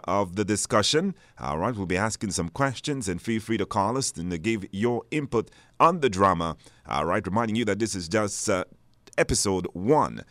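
A man's voice: on the low side, a bit bright, no room sound. A man presenting a live radio talk show, hesitating and repeating words for stress. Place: radio studio.

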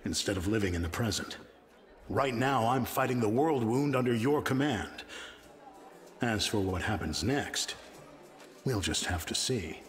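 An actor playing a world-weary, guarded aging dwarf assassin in a video game, gruff and measured, with a hidden tender side. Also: male voice, on the low side, lively intonation. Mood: resigned, gruff. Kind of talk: scripted video game dialogue acting. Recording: game music behind the voice.

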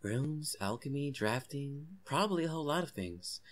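A woman voicing an eager young boy in an earnest, expressive way for a comic fan dub. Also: medium pitch, normal speed, close to an everyday voice. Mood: casual, friendly. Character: young boy who befriends a forest girl, eager, defiant.

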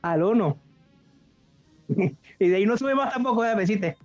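High-voiced man in voice chat. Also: lively intonation, normal speed. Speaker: gaming teammate. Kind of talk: casual joking game chat. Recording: dull, dry voice chat, music behind.

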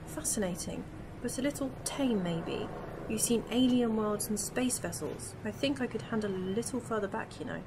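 A woman's voice: a little high, normal speed, noisy background, natural voice. A woman playing a curious, sceptical young companion from the future in a fan audio drama. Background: music.

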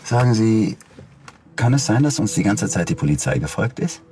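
An actor playing a polite, courteous doctor in a TV crime drama, conversational in manner. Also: male voice, lowish voice, very animated, moderate pace. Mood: polite, slightly wary. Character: smooth, polite male doctor living alone. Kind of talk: naturalistic TV crime drama acting.

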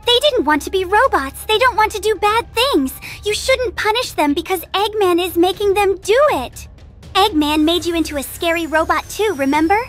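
A woman's voice: very high-pitched, lively intonation, moderate pace, fairly bright tone, faint music behind. A woman voicing a sweet, earnest little rabbit girl with a childlike, pleading manner in a comic dub.

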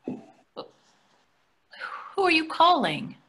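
A woman's voice: extremely high pitch, sing-song, very slow, a bit bright, exaggerated voice. A woman in her sixties playing a placating, dryly chiding wife in a readers theatre play. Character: placating, gently needling middle-aged wife. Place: plain room indoors on a video call.

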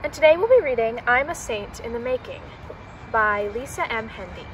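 A woman's voice: on the high side, expressive, normal speed, far from the mic. Young woman reading aloud outdoors, noisy background. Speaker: reader. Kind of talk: children's picture book read-aloud. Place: outdoors in autumn, seated by shrubs. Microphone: camera several meters away.

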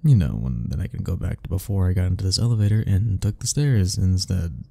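A man's voice: sits low, brisk, vocal fry, dark tone, breathy edge, no room sound, exaggerated voice. A man playing an anxious, sarcastic, self-deprecating young man in a romantic ASMR roleplay, speaking in a wry, rambling way to the listener. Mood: awkward, teasing. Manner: sighing, wry, rambling, chuckling.

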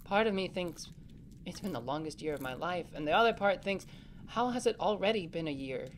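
A protective young big brother voiced by a woman in a family audio drama, slightly low in pitch for a female voice. He sounds earnest, responsible and reassuring, with faint noise in the background. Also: expressive, fairly quick, close to an everyday voice. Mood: reflective, earnest.

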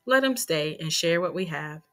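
A woman voicing a kindly, devout father who gently quotes proverbs in a storybook. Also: sits low, expressive, moderate pace, voice pushed down. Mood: gentle, reassuring.

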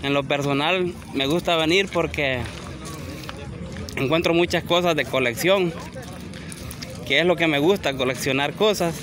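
A man's voice: a little high, unhurried, pauses often, noisy background. Chatty man vlogging outdoors on a phone. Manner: chatty, addresses viewers directly, asks for likes. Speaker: vlogger touring a flea market. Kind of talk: casual walk-and-talk vlog. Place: outdoor flea market, sunny gravel lot. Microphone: handheld phone camera.

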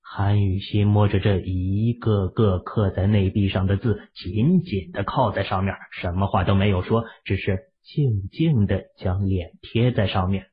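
A man with a raspy voice narrating an audio drama in a steady storytelling manner. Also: sits low, unhurried, hardly any pauses, muffled recording.

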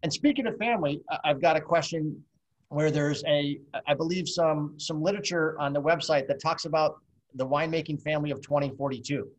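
A man's voice: fairly high pitch, fairly quick, low-quality recording. A man in his fifties hosting a virtual wine tasting over a video call, chatty and joking. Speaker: host.

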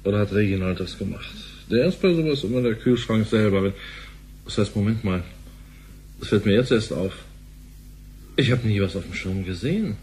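An actor playing an insistent, suspicious former bank partner in a sci-fi radio play. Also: male voice, on the low side, expressive, moderate pace, long pauses, darkish tone, slight background noise, lightly acted, poor audio. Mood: friendly, curious.

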